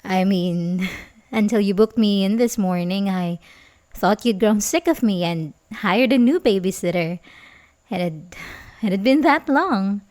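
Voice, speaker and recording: A woman's voice: medium pitch, unhurried, darkish tone, close to an everyday voice. A young woman acting an ASMR roleplay as a sweet, coaxing, secretly obsessive babysitter, speaking hesitantly and intimately with little giggles. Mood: gently concerned.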